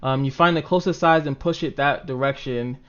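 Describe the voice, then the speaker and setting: A man's voice: mid-range voice, normal speed, fairly bright tone, smooth. A man rambling casually to livestream viewers in an informal, sweary way, acting out reactions as he goes. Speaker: livestream host talking to chat viewers. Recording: dull, lo-fi microphone sound.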